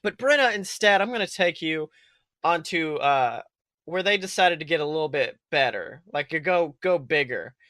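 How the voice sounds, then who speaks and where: A man's voice: fairly high pitch, lively intonation, normal speed, bright, forceful tone, no echo. A man co-hosting a podcast with casual comedic banter, rambling and exclamatory. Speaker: podcast co-host.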